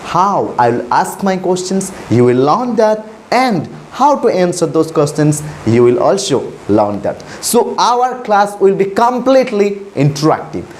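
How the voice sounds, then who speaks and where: A man's voice: high voice, sing-song, a little slow, hardly any pauses, darkish tone, slight background noise. Patient teacher, man. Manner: patient, repetitive, spells out model sentences step by step. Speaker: spoken English teacher. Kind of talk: recorded English lesson with example answers.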